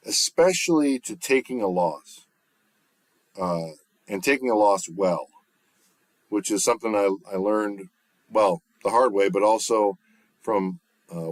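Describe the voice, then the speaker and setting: A man with a low bass voice talks loosely to his livestream audience, circling back on his words and padding them with "uh" and "you know". The sound is close and dry, with no room around it. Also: no room sound. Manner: relaxed, rambling, frequent fillers and restarts. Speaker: livestreamer and recording artist. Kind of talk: casual livestream chat. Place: home, off-grid.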